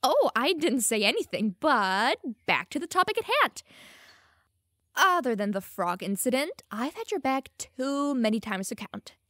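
A woman's voice: high-pitched, big pitch swings, unhurried, sharp tone, no echo, clean studio sound, exaggerated voice. A young woman playing a teasing, smug, secretly lovestruck elf mage in an audio roleplay, playful and easily flustered. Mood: playful, defensive. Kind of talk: audio roleplay addressed to the listener.

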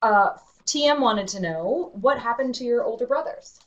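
A woman in her thirties, a museum educator, clearly explaining terms and passing on students' questions from an indoor exhibit room. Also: on the high side, expressive, moderate pace. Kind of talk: webinar moderating.

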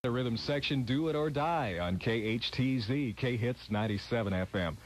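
A man's voice: mid-range voice, moderate pace. An American man working as a radio DJ, announcing music and weather on air. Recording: faintly hissy old radio tape.

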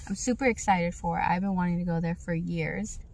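A young woman in her twenties, narrating a travel vlog in a relaxed, matter-of-fact way, filming herself on a phone inside a parked truck. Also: on the low side, brisk, creaky. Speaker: travel vlogger.

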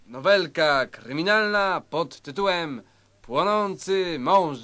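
A man narrating a spoof crime story in a theatrical, deliberate, mock-dramatic style. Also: a little high, lively intonation, loud music behind the voice.

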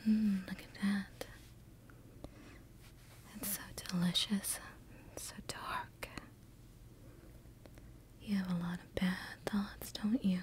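Woman whispering as a slow, sinister demon girl. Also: mid-range voice, fairly even intonation, natural voice. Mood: gloating, hungry. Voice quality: breathy whisper. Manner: slow, teasing, drawn-out delivery. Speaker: ASMR roleplay performer. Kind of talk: whispered ASMR roleplay.

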